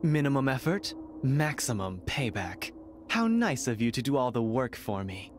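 An actor playing an arrogant, mocking noble heir in a video game, with theatrical, smug sarcasm. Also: male voice, medium pitch, very animated, moderate pace, background noise, lightly acted. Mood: gloating.

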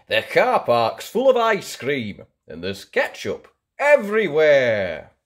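A woman voicing exasperated grown-up supermarket staff in a children's storybook, with a dramatic, drawn-out delivery. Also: low-pitched, huge pitch swings, voice pushed down, heavily acted. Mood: dismayed. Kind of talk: children's storybook read-aloud.

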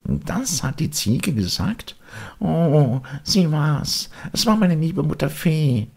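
An earnest little wooden puppet boy, played by a man, speaks in a raised, youthful pitch. His storybook lines are emphatic and heartfelt. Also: big pitch swings, slow pace, vocal fry, a little mellow, husky, exaggerated voice.

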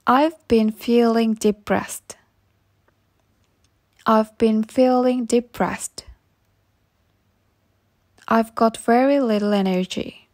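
A woman with a fairly high voice reads practice sentences for English learners. She speaks very slowly and carefully and says every phrase twice so listeners can repeat it. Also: fairly even intonation, darkish tone. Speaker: English teacher.

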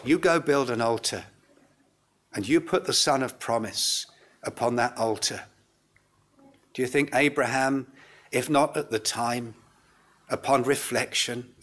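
Older pastor preaching, unhurried pace. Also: male voice, mid-range voice, a bit bright. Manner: earnest, solemn, deliberate with short phrases and pauses. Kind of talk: sermon. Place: indoors, church pulpit. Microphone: lectern gooseneck microphone.

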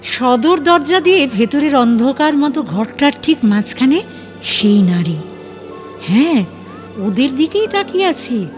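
Lady narrator doing a dramatic audio story, high pitched, soft music behind. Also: big pitch swings, unhurried. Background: faint music.

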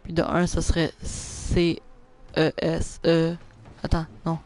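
A young man with a Québécois French accent, live-streaming a car soccer game, chatting with viewers and reacting loudly to plays. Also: on the high side, slow pace. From Quebec. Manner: excitable, groans and repeats "non" at misses. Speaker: gaming streamer. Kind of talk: live gameplay commentary reading chat.

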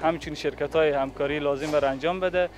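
A man's voice: on the high side, steady tone, faint music behind. A young male factory representative answers formally. His phrasing is hesitant and slightly muffled by a face mask, picked up on a reporter's handheld mic in a big hall. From Afghanistan. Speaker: factory representative being interviewed. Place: large indoor factory hall. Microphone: handheld reporter microphone held toward him.

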